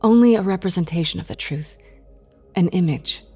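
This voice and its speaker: A woman's voice: lowish voice, moderate pace, a little mellow, slightly rough, faint music behind. A woman playing an earnest, strong-willed therapist in an audio drama, speaking articulately and expressively. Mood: calm, reassuring.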